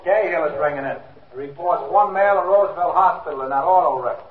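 A man's voice: on the high side, fairly quick, lightly acted. An actor playing an efficient male switchboard sergeant in a 1950s radio drama, clipped and official. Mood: routine. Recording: tinny 1950s radio, background chatter.

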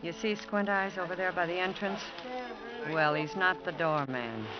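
A woman's voice: lowish voice, normal speed, natural voice. An actress playing a tough, slangy, world-weary American bar girl in a vintage radio drama. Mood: cool, confiding. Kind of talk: 1950s radio drama acting. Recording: dull vintage radio recording with music.

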